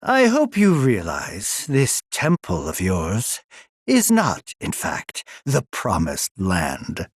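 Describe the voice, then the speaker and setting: Game villain: sinister older scientist, slow, mockingly polite delivery with extreme melodic swings, gleefully superior, echoey and off-mic. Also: male voice, lowish voice, huge pitch swings, full character voice. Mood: smug fascination. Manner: mocking, theatrically polite, drawn-out phrasing. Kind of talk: theatrical video game villain acting. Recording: roomy echo, distant from mic.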